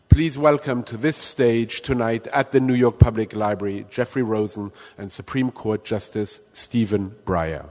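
A man hosting a library event, reading a formal introduction, then ad-libbing haltingly. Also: mid-range voice, big-room sound. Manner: halting and self-deprecating when ad-libbing. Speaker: host. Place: large public library event hall.

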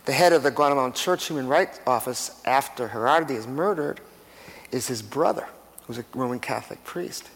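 A man on a panel telling an anecdote, animated, with stammering restarts. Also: mid-range voice, a little fast, husky. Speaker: author on a panel. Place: lecture hall. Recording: reverberant hall with faint room noise.

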